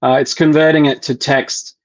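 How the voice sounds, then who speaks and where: A man walks viewers through a software demo in a live online session, stopping and restarting often with fillers. His voice sits a little high for a man. The audio is dull and lo-fi, like a call recording. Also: little pitch movement. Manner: halting, many fillers and restarts. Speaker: developer relations presenter.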